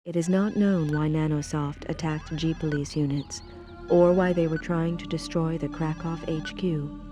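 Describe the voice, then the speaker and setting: Casting: actress as official, detached briefing officer, low female voice, formal game script over music. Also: fairly even intonation, normal speed, lightly acted.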